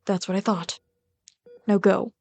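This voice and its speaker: A woman's voice: mid-range voice, little pitch movement, unhurried, vocal fry. Woman acting as a bitter, scolding villain queen. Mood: impatient, commanding. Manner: scolding, sardonic, exasperated, dropping to hushed asides. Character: bitter, caring female villain rat queen tending a captive sidekick. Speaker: voice actor in an audio roleplay. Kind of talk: ASMR roleplay acting.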